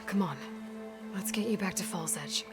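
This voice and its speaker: A woman's voice: mid-range voice, moderate pace, over loud music, lightly acted. A woman playing a determined, matter-of-fact young heroine in a video game cutscene. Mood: firm, brisk.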